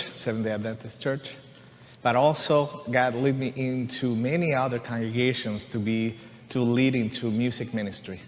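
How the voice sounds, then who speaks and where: A man from Venezuela, a church musician giving an earnest, conversational personal testimony to a congregation, often saying "you know" and "right?". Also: medium pitch. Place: church sanctuary. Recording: long reverb of a large church hall.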